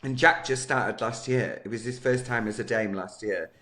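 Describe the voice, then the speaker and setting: A man with a hoarse voice from a cough, chatting casually and playfully as co-host. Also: medium pitch, normal speed, bright, forceful tone. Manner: camp, playful, jokey. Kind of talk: casual chat.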